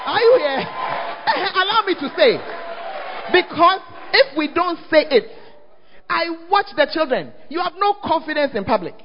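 A man with a Ghanaian English accent preaching a lively sermon as pastor, often calling out to the congregation to check that they are listening. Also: very high-pitched, moderate pace, noisy background. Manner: call-and-response, often asks the crowd "are you there?". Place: church hall. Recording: dull, echoing church hall recording.

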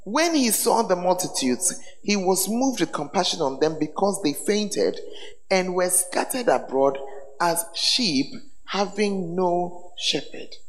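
A man preaching as a bishop, his voice hoarse, teasing the congregation with call-and-response questions. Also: sits high, expressive, slow pace, a little hiss or hum. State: hoarse, voice tired. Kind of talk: sermon. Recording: echoey hall with faint ambience.

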